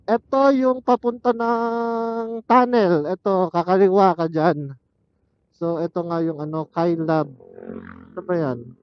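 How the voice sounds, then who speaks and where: A man's voice: high voice, takes it slowly, long pauses. A man chatting casually and thinking aloud as a motorcycle vlogger while he rides, speaking into a helmet intercom headset. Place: outdoors, riding a motorcycle on mountain roads. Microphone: helmet intercom headset used as external mic. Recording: muffled helmet intercom microphone.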